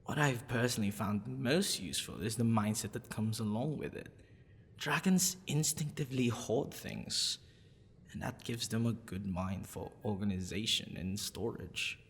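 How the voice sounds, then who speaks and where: A man playing a smitten, possessive half-dragon librarian in a boyfriend ASMR roleplay, with a halting, stammering, husky delivery. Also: on the low side, a bit bright, full character voice. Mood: calm, musing. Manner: halting, stammering, breathy sighs and nervous laughs. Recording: long reverberant echo.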